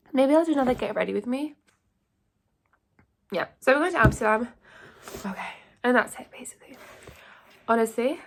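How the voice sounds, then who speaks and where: A woman's voice: mid-range voice, gravelly. A young woman, congested from a cold, chatting casually and rambling through a get-ready-with-me vlog at home. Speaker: beauty vlogger. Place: at home, shared house.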